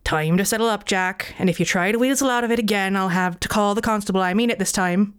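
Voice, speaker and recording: A woman voicing a gruff, fed-up male barkeep who talks briskly, as a storyteller plays him. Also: mid-range voice, natural voice.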